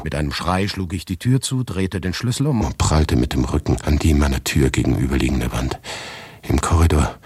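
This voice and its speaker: An actor playing an agitated writer who narrates his own macabre tale in a radio drama, husky and intense, lapsing into whispers. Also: darkish tone, no room sound, exaggerated voice. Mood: horrified, hushed. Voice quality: very deep, husky, gravelly, often breathy whisper. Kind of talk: literary radio drama narration, first person.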